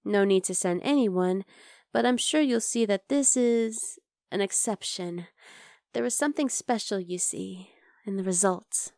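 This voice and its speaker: A woman's voice: medium pitch, unhurried, gravelly, no echo. Woman acting as vampire woman, chatty, coaxing, coyly obsessive, ASMR roleplay, very dry close sound. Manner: conversational, teasing, coaxing, with soft asides. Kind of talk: ASMR roleplay monologue addressed to the listener.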